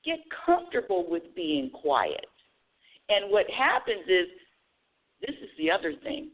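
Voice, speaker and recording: A woman's voice: medium pitch, wildly swooping pitch, a little slow. A woman hosting a spiritual call-in radio show, talking earnestly and often restarting her phrases. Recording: tinny phone-line audio.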